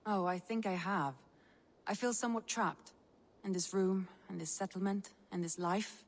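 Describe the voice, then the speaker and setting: A woman playing a composed Norse noblewoman and advisor in a video game, speaking in a gentle, measured way. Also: mid-range voice, steady tone, lightly acted. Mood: wistful. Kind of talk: naturalistic video game acting.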